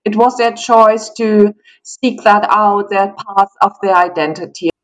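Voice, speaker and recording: Middle-aged woman, German accent, native German speaker, measured, storytelling manner. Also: mid-range voice, steady tone, low-quality recording. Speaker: guest. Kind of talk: conversational interview.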